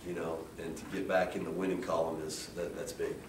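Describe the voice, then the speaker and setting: An American man speaking as a coach at a post-game press conference, in a halting, measured way with frequent restarts. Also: level tone. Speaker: head basketball coach. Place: indoor press room at a table. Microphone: distant camera. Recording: roomy, distant mic with faint rumbling noise.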